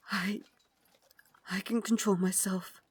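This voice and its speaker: A woman playing a refined, tender but tormented Victorian vampire lady in an ASMR roleplay, speaking in a formal, genteel way with breathy asides. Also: medium pitch. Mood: remorseful, soothing. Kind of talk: ASMR roleplay, dramatic monologue.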